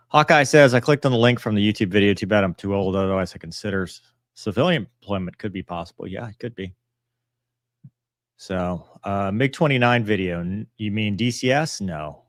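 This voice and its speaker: A man with a low voice hosts a relaxed live Q&A, recorded close and dry. He talks off the cuff in a matter-of-fact manner, drifting from point to point and letting sentences trail off. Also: moderate pace, vocal fry, dry recording. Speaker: live stream host answering viewer messages; pilot and author. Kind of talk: casual chat, live Q&A.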